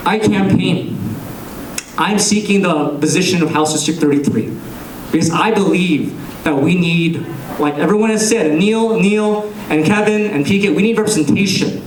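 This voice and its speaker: A young man giving an earnest, measured campaign speech to a room full of people, pausing between phrases. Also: fairly high pitch, slight background noise. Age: young adult. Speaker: political candidate. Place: large room with an audience. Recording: echoey room, captured from a distance.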